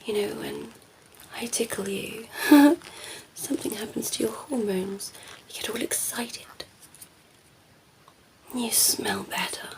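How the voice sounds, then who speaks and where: A woman voicing a ditzy, flirty female vampire in an ASMR roleplay, speaking softly and breathily, often in a whisper, with a coy, teasing manner. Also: fairly high pitch, vocal fry, low-quality recording. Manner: slow, teasing, coy, giggly.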